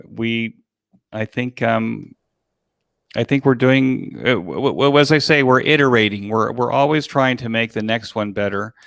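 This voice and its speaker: An older man speaking casually as an interview guest, in a halting way with repeated words, describing his work as an organizer. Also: medium pitch, vocal fry, a bit bright, clean tone, no echo, clean studio sound. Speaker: guest, virtual expo organizer.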